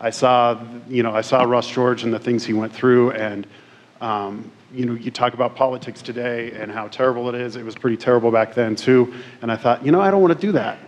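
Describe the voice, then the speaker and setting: A man in his forties from Colorado giving a casual, self-deprecating career talk to high school students, with many restarts. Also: fairly even intonation, fairly quick, muffled recording. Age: 40s. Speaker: career expo speaker. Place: large school auditorium stage. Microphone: podium mic on stand.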